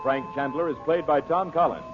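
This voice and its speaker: A man's voice: on the high side, brisk, music in the background. An American man announcing and reading adverts in a 1940s radio style. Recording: dull vintage radio recording.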